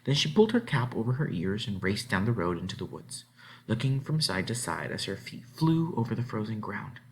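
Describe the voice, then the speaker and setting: A man's voice: fairly low pitch, very animated, fairly quick, a little hiss or hum, low-quality recording. Man reading a children's novel dramatically. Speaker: read-aloud narrator. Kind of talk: expressive children's book narration.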